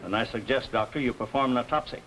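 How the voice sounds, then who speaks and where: A man acting as an older, deliberate police investigator in a 1950s television mystery. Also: medium pitch, a bit bright, lightly acted. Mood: measured, official. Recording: noisy old 1950s television soundtrack.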